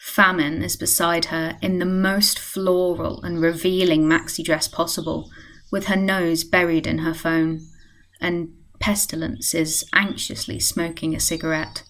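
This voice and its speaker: A woman with a fairly low voice narrates a radio play. Her delivery is scripted, describing the action as a storyteller. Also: little pitch movement, a little slow, a bit bright, rough recording.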